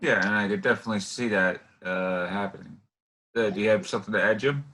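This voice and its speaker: A man hosts an informal interview in a fairly low, bright and forward voice. He asks his questions haltingly, rambling and restarting as he searches for the right words. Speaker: interview host.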